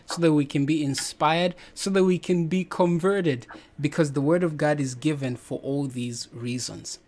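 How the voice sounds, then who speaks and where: A man's voice: a little high, darkish tone. Upbeat young man hosting a podcast. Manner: upbeat, encouraging, laughs often. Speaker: podcast host. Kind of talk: casual video-call Bible podcast chat. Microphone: headset.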